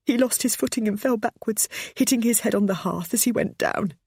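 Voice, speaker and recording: A woman voicing a frightened, tearful middle-aged mother who speaks in a high, breaking voice. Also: fairly quick, darkish tone, slightly rough, dry recording, clean studio sound. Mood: distraught.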